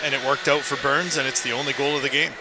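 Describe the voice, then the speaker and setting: A man's voice: medium pitch, little pitch movement, quick pace, noisy background. A man giving live analysis as a hockey colour commentator. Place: indoor ice hockey arena.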